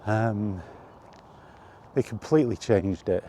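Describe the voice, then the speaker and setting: Slow, deep-voiced man with dark tone. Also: slight background noise. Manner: halting, rambling, thinking aloud in short phrases. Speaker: video creator and host. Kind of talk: casual reminiscing monologue about retro games. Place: outdoors while walking.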